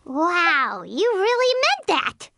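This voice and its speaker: A woman voicing a tiny, excitable, childlike companion creature in a video game, with a squeaky voice. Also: slow pace, background noise, full character voice. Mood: excited. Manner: exclamatory, refers to self in third person.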